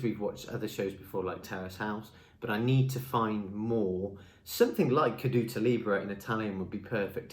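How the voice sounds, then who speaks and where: Upbeat man vlogging in an echoey room. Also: lowish voice. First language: English. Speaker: language school marketing presenter. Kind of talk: talking-head vlog. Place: indoor room with a screen behind him.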